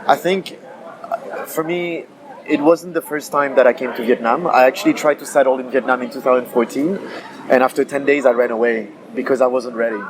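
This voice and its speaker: A man's voice: mid-range voice, fairly even intonation, rough recording. Man in his thirties over crowd chatter. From France. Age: 30s. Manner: halting, many fillers and restarts. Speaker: guest. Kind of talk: casual interview chat. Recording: busy crowd chatter behind.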